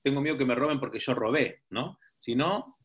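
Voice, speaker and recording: A man's voice: medium pitch, animated, brisk, a bit bright, muffled recording. A man giving an informal teaching talk to a group, reflective and often checking in with rhetorical questions. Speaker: seminar teacher.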